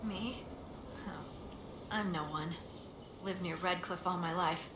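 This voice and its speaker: A young fantasy scout from a video game, down-to-earth, playful and modest. The voice is a little low for a woman. It comes through echoey, with noticeable noise. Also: slow pace. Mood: modest, earnest. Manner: casual, playful. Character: cheeky young village-born female scout, modest and eager. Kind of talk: video game dialogue acting. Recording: roomy echo, clearly noisy game capture.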